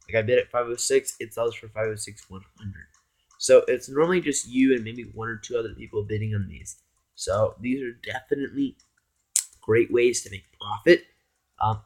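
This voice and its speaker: A hoarse man giving casual, rambling gameplay commentary as a YouTuber, often addressing his viewers as guys. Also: medium pitch. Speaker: gaming YouTuber.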